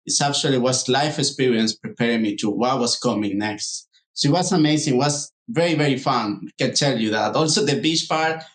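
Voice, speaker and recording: A man's voice: medium pitch, fairly quick, hardly any pauses. A man from El Salvador in his thirties, a podcast guest talking about growing up there, meandering and enthusiastic. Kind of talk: casual interview answers.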